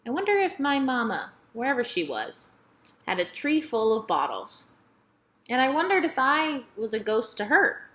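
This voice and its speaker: Bright, expressive woman reading a children's novel. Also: a little high, normal speed. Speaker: storybook reader. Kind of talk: scripted children's novel narration.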